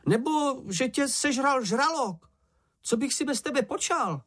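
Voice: medium pitch, sing-song, breathy edge, voice pushed up. A narrator voicing a small, timid male animal who frets and complains in a frightened, whiny manner. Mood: distraught. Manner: fretful, anxious, complaining.

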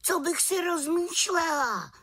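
A woman's voice: high voice, big pitch swings, a bit bright, pitched above the performer's own voice. A woman voicing a young little witch, eager, proud and defiant, in a storybook reading.

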